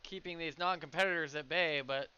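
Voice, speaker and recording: Smooth, bright man calling surf heats. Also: fairly high pitch, brisk, muffled recording. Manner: chatty, laughs easily, calls riders by name. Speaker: surf contest commentator. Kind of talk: live sports commentary. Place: outdoor beach contest booth.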